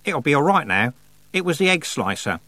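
Man voicing a high, wry, tired goddess woman. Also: big pitch swings, moderate pace, pushed and bright, dry recording. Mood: offhand, wry. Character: skinny, tired, offhand goddess woman, chain-smoking and wry. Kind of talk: audiobook character voice.

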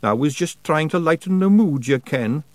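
A man's voice: a little high, animated, moderate pace, dry recording, pitched above the performer's own voice, natural voice. A man doing a daft, cheery wee Scottish fairy. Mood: cheerful, sheepish. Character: small, simple-minded fairy man.

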